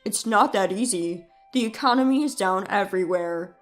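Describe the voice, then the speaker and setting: A woman's voice: medium pitch, moderate pace, faint music behind, pitched below the performer's own voice, close to an everyday voice. A woman voicing a defensive cheating husband in a scripted story, pleading and condescending, with emphatic delivery.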